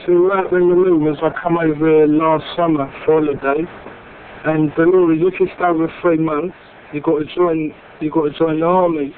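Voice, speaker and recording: A young man with a London accent, an army conscript, chats in plain casual slang. His fairly high voice stays flat and even. The audio is thin and tinny, with a faint hiss. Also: normal speed. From North London. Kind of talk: casual interview answers.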